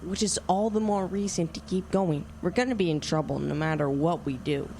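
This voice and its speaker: A woman's voice: on the low side, a little fast, a little mellow, slight background noise, close to an everyday voice. A young female actor playing a stubborn, earnest kid adventurer in an audio drama.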